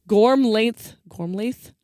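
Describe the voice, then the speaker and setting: A woman's voice: medium pitch, animated, drawn-out words, mellow, no room sound. Casual female podcaster, dry close sound. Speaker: podcast host. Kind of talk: casual storytelling chat with co-host.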